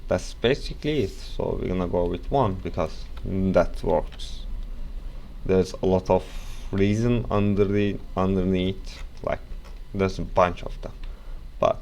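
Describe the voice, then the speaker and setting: A young man with a Turkish accent, casually walking through a software tutorial with rambling asides and self-corrections. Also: low voice, measured pace, creaky, a little hiss or hum. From Turkey.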